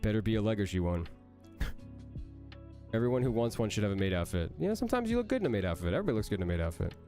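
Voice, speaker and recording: A man's voice: fairly low pitch, big pitch swings, fast talker, mellow, faint music behind. A young man, a voice actor, reading out and answering chat questions on a livestream, with a nasal voice that sounds like he has a cold, playful and joking.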